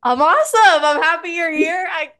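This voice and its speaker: A woman's voice: very high-pitched, moderate pace, bright, forceful tone. Bubbly female podcast host, casual and upbeat interviewer. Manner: bubbly, laughs often.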